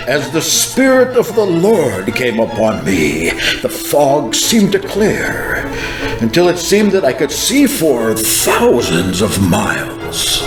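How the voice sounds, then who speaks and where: A man playing a fervent American healing evangelist, solemnly and deliberately recounting a prophetic vision with dramatic emphasis. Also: medium pitch. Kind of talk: dramatized first-person testimony, scripted narration. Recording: roomy echo with music underneath.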